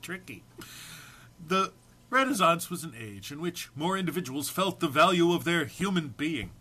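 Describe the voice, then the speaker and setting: A man's voice: a little high, big pitch swings, normal speed, bright, forceful tone, breathy edge. A man giving a theatrical, amused dramatic reading of a humorous written text, with emphatic phrasing. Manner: amused, prone to breaking into laughter. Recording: faint background noise.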